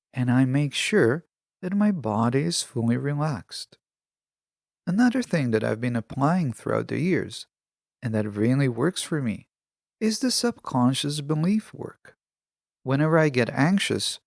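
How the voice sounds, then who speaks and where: A man with a fairly high voice and noticeable vocal fry, recorded dry and close. He speaks in deliberate, measured phrases. It is a reflective self-help monologue from a podcast host. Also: darkish tone, no room sound.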